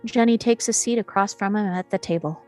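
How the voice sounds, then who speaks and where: A woman's voice: mid-range voice, a little fast, darkish tone, soft background music. A woman narrating scene headings and action descriptions as scripted narration in a table read.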